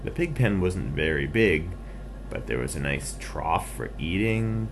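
A man tells a children's bedtime story in simple, playful phrases. His voice is lowish and creaky, moving slowly with an animated rise and fall. Noise is clear on the recording.

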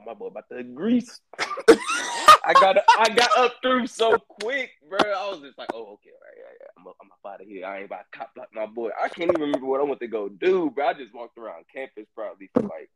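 A man's voice: medium pitch, very noisy. Laid-back young man telling stories. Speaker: podcast co-host. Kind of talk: casual podcast chat.

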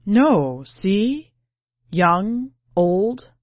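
A woman's voice: mid-range voice, very animated, extremely slow, full character voice. A woman narrator plays an earnest young man in a short story, uneasy and insistent. The sound is roomy and a little muffled. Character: anxious, earnest young male ticket taker. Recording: muffled, roomy home recording.

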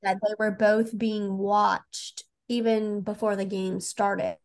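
A woman's voice: mid-range voice, level tone, slow, creaky. A woman co-hosting a book podcast with casual banter and a blunt, irreverent way of talking.

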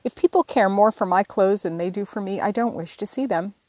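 A woman's voice: mid-range voice, a little fast, darkish tone, no echo, studio quality, close to an everyday voice. A woman reading an audiobook voices a blunt, stubborn older sister with a grumbling, wry manner.

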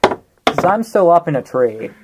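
A woman's voice: lowish voice, very animated, measured pace. A woman chatting casually as a player in a tabletop game. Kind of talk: casual chat during a game. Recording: clear background noise.

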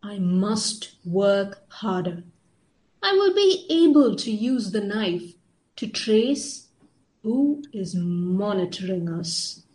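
A woman playing a determined, vigilant weapon maker in an audio drama, speaking deliberately and emphatically. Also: medium pitch, lively intonation, darkish tone. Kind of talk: amateur audio drama monologue.

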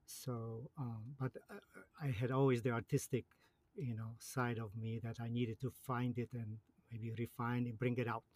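A man from Poland in his fifties with a Polish accent, a guest in a casual interview who speaks in a halting way with many fillers and restarts. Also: mid-range voice, flat delivery, darkish tone.

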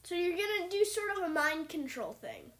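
A woman hosting an online group call, asking joking interview questions with a teasing, deadpan humor over a video call connection. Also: extremely high pitch, normal speed. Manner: teasing, deadpan jokes, laughs often. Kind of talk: playful mock-interview banter.

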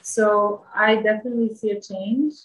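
Woman from Bosnia, thirties, English, very roomy echo, medium pitch, reflective interview talk. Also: steady tone, moderate pace. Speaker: interview guest. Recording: echoey room sound.

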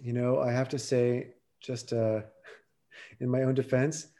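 A man's voice: mid-range voice, little pitch movement, measured pace, warm tone. A man in his fifties living in Canada, an easygoing, self-deprecating podcast guest. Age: 50s.

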